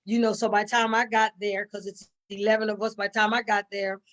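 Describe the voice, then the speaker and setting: A woman's voice: medium pitch, little pitch movement, a little fast, a bit bright. An older woman chatting as an interview guest, telling stories in a folksy, rambling way and repeating phrases for emphasis. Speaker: interview guest, stage actor. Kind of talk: casual storytelling chat.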